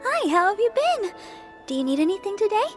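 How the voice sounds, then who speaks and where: A woman's voice: very high-pitched, sing-song, fairly quick. A woman voicing a sweet, earnest young church idol girl in a video game, friendly and eager. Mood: cheerful. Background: soft game music.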